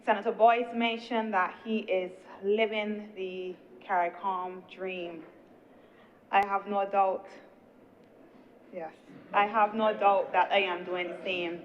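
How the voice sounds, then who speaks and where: A woman senator with a Barbadian accent, giving an earnest, measured speech in the chamber. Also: medium pitch, flat delivery, a little hiss or hum. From Guyana, raised in Barbados. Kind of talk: parliamentary speech. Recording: dull lo-fi chamber broadcast.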